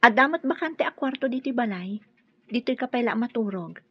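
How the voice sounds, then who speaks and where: Radio drama role: devoted, gently chiding young sweetheart, Ilocano accent, soft-edged husky tone, dry recording. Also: female voice, on the high side, animated, a little fast, no echo, lo-fi sound. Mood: caring. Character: caring, fussing young sweetheart woman.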